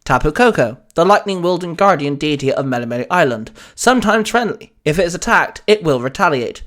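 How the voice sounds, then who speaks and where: Talking encyclopedia gadget, read by a man, formal, explanatory, fairly high voice. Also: animated, brisk, a bit bright. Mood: informative. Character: talking phone gadget reciting creature facts.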